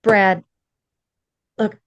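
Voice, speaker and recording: A woman playing a warm, earnest young businesswoman in a script table read. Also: drawn-out words, dry recording, muffled recording. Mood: sincere, impressed.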